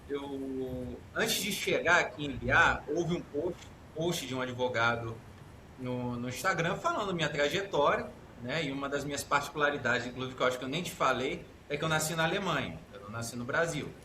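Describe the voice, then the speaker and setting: A man with a Rio-leaning Brazilian accent tells stories in a slightly raised voice, full of energy and voicing the people in them. The sound is roomy and echoey, with steady, clearly audible noise behind him. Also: fairly high pitch, moderate pace. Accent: Rio de Janeiro-leaning Brazilian Portuguese. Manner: lively anecdote teller, acts out dialogue, laughs often. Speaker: judge, podcast guest. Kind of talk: casual storytelling chat.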